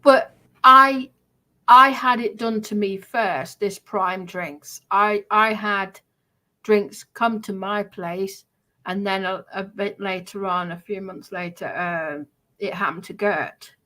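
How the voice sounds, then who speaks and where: A woman casually explaining herself in a defensive, halting way. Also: medium pitch, steady tone, sharp tone.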